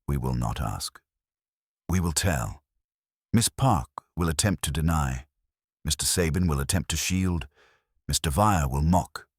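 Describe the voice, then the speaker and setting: A male narrator plays an older master detective, ponderous and imperious. The voice is very deep and rough-edged, moving up and down with each stern pronouncement. The diction is formal and exact, as in a mystery audiobook. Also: no room sound, studio quality, heavily acted.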